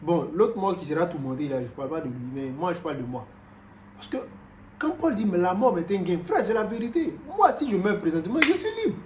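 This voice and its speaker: A man from Cameroon, preaching a sermon in an animated way, moving from loud exclamations to quiet asides and repeating himself often. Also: sits high, fairly quick. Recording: roomy echo with faint background noise.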